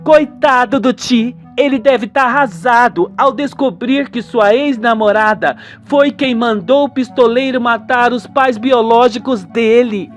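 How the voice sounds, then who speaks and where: A man's voice: extremely high pitch, measured pace, hardly any pauses, faint music behind, pitched above the performer's own voice. A man voicing a caring young woman, a daughter in a radio soap, chatty and insistent. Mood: pitying. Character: young woman, caring daughter, breezily reassuring her parents.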